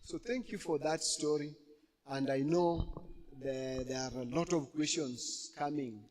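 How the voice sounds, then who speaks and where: A man moderating a church program, speaking slowly with many fillers and asking the audience questions. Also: a little high, darkish tone, a little hiss or hum. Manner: slow, halting, many fillers. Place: church hall. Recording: long hall reverb.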